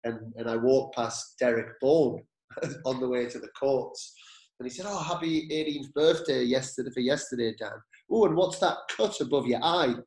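A male podcast host telling a story and asking questions in a relaxed, agreeable conversational manner. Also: medium pitch, normal speed. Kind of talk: conversational interview. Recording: echoey room.

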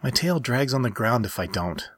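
A man's voice: mid-range voice, lively intonation, normal speed, fairly bright tone, husky, no room sound, studio quality. A man voicing a tired, affectionate skunk-like woman in a story reading. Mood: defensive.

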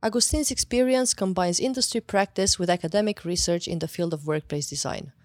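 A woman's voice: on the low side, brisk, dry recording, faint music behind. A woman hosting a podcast, reading an upbeat introduction and then asking her guest questions politely. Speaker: podcast host.

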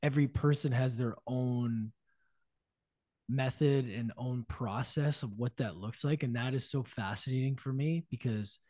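A man's voice: medium pitch, a little slow, a little mellow, dry recording. Laid back guy talking on a podcast, dry close sound, lots of likes and you knows. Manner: easygoing, reflective, many fillers. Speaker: podcast guest. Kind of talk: casual podcast conversation.

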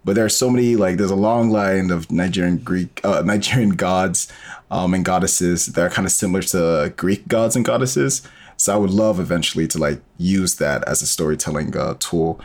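A man with a very low-pitched voice, talking as a guest in a relaxed interview format. He explains his creative work informally, thinking aloud and building his point in loose, rambling steps. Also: normal speed. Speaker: interview guest, sci-fi audio storyteller. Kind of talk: casual conversational interview.

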